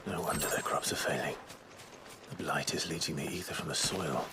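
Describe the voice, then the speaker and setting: A man acting a brooding young nobleman warrior in a video game, speaking in a grave, measured manner. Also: extremely low pitch, noisy background.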